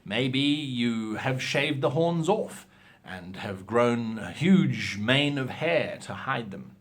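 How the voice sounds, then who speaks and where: A man presents instructional advice as a show host, speaking straight to his viewers. His delivery is theatrical and emphatic, and his voice sits at a medium pitch for a man. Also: lively intonation, takes it slowly. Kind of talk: instructional talk to viewers with acted example lines.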